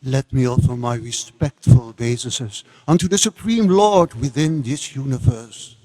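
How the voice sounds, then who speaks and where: A man's voice: mid-range voice, a little slow, warm tone, natural voice. A man playing an ambitious demon king in a devotional dramatic reading, pleading formally and emphatically for power. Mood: reverent pleading. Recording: hall with long reverb.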